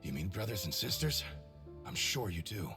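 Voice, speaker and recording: An actor playing a loyal male soldier in a video game, earnest and pleading, with a gravelly voice. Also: low voice, big pitch swings, normal speed, over music. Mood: uneasy. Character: loyal male soldier, frightened but devoted.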